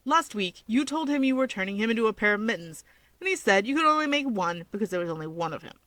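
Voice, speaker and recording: Storybook male wizard, played by a woman: gruff, self-assured tease, sharp forceful tone, blunt sardonic delivery. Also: a little high, animated, fast talker, no echo. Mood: mocking. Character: gruff, cocky, teasing young male wizard. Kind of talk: fan fiction character reading.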